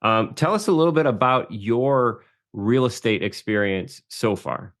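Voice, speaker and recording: A man's voice: medium pitch, animated, a little slow, a bit bright. A man hosting a conversational podcast interview, reflective and often restarting his phrases as he thinks. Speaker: podcast host.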